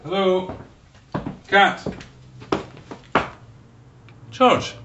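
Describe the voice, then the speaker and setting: An actor playing an attentive, obliging Scottish psychic in a radio drama, with a gentle manner. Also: male voice, sits high, drawn-out words, dull audio. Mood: concerned, obliging. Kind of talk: naturalistic radio drama dialogue. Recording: echoey, distant from mic, noisy.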